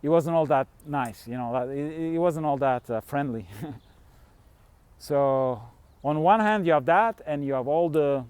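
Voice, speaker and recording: A man's voice: on the high side, a little slow, darkish tone, clean tone, no echo. A middle-aged man from Switzerland, rambling candidly through a casual vlog monologue with the odd chuckle. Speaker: fasting coach and vlogger.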